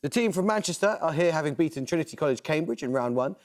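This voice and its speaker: A man hosting a television quiz show, reading questions and introductions in a crisp, measured way. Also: fairly high pitch. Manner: crisp, measured, lively intonation. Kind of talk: scripted quiz presenting. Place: television studio.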